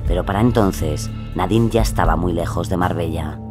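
A man's voice: sits low, moderate pace. A man narrating a scripted true-crime audio documentary. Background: music.

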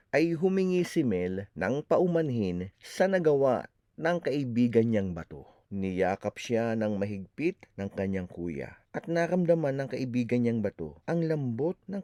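A man reading a children's story aloud with expressive, animated narration. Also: medium pitch, unhurried.

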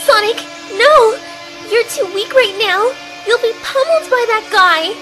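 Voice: very high voice, animated, a little slow, music in the background. An actor playing a worried young female cartoon hedgehog in an amateur radio play, pleading and earnest. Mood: frantic pleading. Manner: anxious, earnest.

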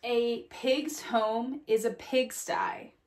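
High-voiced woman reading to children. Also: steady tone, very slow. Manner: addresses young listeners directly with questions and tag "right?". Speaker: teacher reading aloud to children. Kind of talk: children's picture book read-aloud with asides. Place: small indoor room at home or classroom corner.

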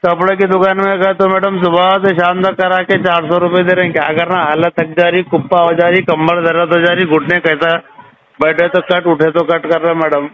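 A man's voice: high voice, flat delivery, fairly quick, dry recording. A man with a Hyderabadi accent rambling through exasperated, repetitive complaints over the telephone. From Hyderabad Old City, India. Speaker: called customer. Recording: noisy narrowband phone call recording.

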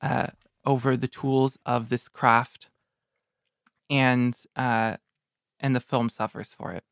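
A man with an average-pitched voice speaks as a film podcast panelist. His phrasing is measured and deliberate, and the sound is very dry. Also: level tone, creaky, clear, resonant tone, no room sound. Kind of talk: casual film discussion.

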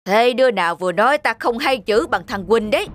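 A pompous, bullying old scholar in a cartoon folktale, played by a woman, haughty and scolding as he talks down to others. His voice rides fairly high with lively, swooping pitch. Music runs clearly behind him. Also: a little fast, close to an everyday voice. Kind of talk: cartoon voice acting. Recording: music playing under voice.

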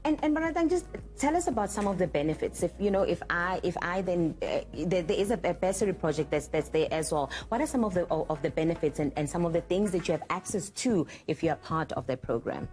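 Woman morning show host from south africa, lowish voice, chatty with lots of stammered repeats, faint music. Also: lively intonation, quick pace, hardly any pauses, darkish tone. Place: TV studio set.

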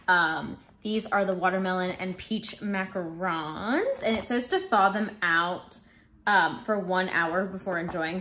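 A woman's voice: mid-range voice, slight background noise. Chatty young woman in a kitchen. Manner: chatty, relaxed, frequent ums and likes. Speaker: vlogger. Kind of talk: casual grocery haul chat. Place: home kitchen, indoors. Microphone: camera in front, close framing.

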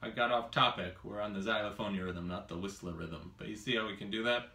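Low-voiced man teaching xylophone in a kitchen. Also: a little fast, over loud music. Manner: musing, thinks aloud with hesitant fillers. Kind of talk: casual demonstration lesson. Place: home kitchen, indoors. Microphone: camera across the room. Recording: roomy kitchen sound from a distance. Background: xylophone playing.

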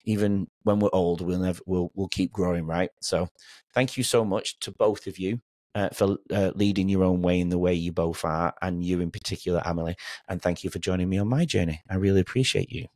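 Low-voiced encouraging male podcast host. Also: fairly even intonation, brisk, darkish tone, dry recording, studio quality. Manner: encouraging, affirming. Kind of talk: warm conversational interview. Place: small home studio indoors.

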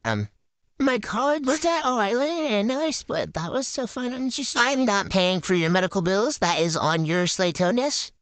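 A woman's voice: mid-range voice, sing-song, moderate pace, a bit bright, dry recording. A woman voicing a bratty, ditzy female gymnast in a silly game roleplay, with a boastful valley-girl drawl, on a dry close mic. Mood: bossy. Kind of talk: improvised game roleplay voiceover.

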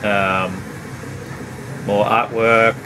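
A middle-aged man chatting casually about his records at home, relaxed and rambling, with many uhs and ums. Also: fairly low pitch, extremely slow. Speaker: vinyl collection vlogger. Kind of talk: casual record review chat. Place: home room lined with CD shelves. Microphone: camera at desk distance. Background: background hum, faint tape music.

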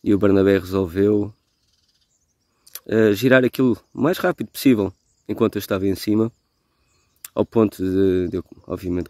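A man in his forties with a Lisbon accent, reminiscing haltingly and reflectively in a personal video message recorded outdoors on a phone. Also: low voice, a little mellow, dry recording, clean studio sound. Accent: European Portuguese, Lisbon. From Lisbon, Portugal. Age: 40s. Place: outdoors in a garden. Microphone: phone at arm's length.

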